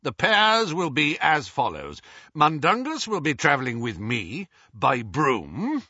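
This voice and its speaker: A gruff old male wizard who trusts nobody and growls every order, voiced by a man reading aloud. The voice is forceful and husky, and the recording is dry and somewhat dull. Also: fairly high pitch, huge pitch swings, slow, no echo, pitched above the performer's own voice, heavily acted. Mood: gruff commanding.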